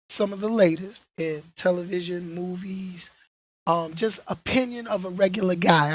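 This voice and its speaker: A man hosting his podcast in casual chat, his voice hoarse from being under the weather, joking and repeating himself. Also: high-pitched. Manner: laid-back, repeats himself, joking asides. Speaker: podcast host.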